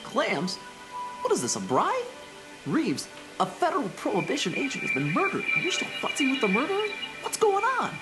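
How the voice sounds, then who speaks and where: A woman's voice: high voice, huge pitch swings, normal speed, over loud music, poor audio. A woman playing an earnest, honest young male rookie cop, eager and questioning, in an old-time radio drama. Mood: incredulous.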